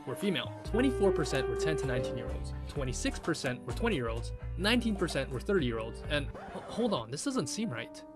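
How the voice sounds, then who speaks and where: A presenter reading out statistics in an amused, lively way. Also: male voice, a little high, brisk, music in the background.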